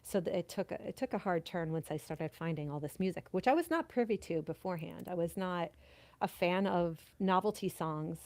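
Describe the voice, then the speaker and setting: A woman in her thirties or forties, a guest in a casual interview, telling stories in a lively way and laughing often. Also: on the low side, brisk, dry recording. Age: 30s to 40s, mother of a nine-year-old. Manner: animated storyteller, laughs often. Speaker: podcast guest, performer.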